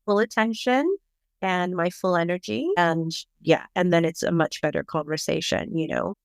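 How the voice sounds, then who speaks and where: A middle-aged woman from Bangladesh, a parenting coach, speaking as a podcast guest in a reflective, measured way. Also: lowish voice, gravelly, clear, resonant tone, no room sound, clean studio sound. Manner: reflective, measured, thoughtful explaining. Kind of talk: podcast interview.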